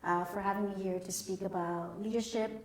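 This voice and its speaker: A middle-aged woman speaks in a measured, polite way and pauses often between thoughts. Her voice sits at a mid pitch. A long hall reverb trails after each phrase. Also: fairly even intonation, slight background noise. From born in Cambodia, raised in the United States. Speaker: guest, company founder and CEO. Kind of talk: interview answers.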